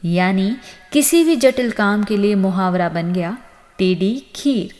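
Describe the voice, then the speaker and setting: A woman narrating a scripted moral story as host, with an expressive storytelling delivery. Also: medium pitch, measured pace. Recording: long reverb on the voice.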